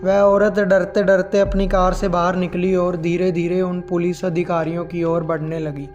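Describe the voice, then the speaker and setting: A man's voice: sits high, flat delivery, normal speed, darkish tone, lo-fi sound, soft background music. A man narrating scripted horror stories as the host of a storytelling channel.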